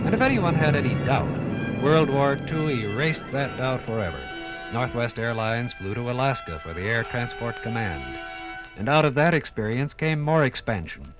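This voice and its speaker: Theatrical American male radio narrator over music. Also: medium pitch. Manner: theatrical, oratorical, dramatic pauses. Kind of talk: 1950s dramatized radio documentary narration.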